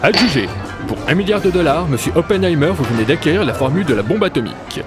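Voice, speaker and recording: A man performs a suave villain with formal, theatrical menace. His mid-pitched voice moves in lively swings. Clear music sits behind this audio drama. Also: fast. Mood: grandly announcing. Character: suave, menacing male villain running illegal auction. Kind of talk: French audio drama dialogue.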